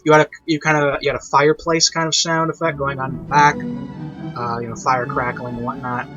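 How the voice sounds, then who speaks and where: A man's voice: mid-range voice, flat delivery, fairly quick. A man hosting a casual, unscripted review, rambling and repeating himself to make his point. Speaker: review show host, online reviewer. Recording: dull lo-fi mic, music behind. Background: orchestral and piano classical music.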